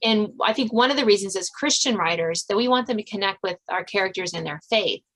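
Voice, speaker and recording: A woman's voice: medium pitch, animated, a little fast, fairly bright tone, rough recording. A woman hosting a podcast, talking with guests in a conversational interview style. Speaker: podcast host.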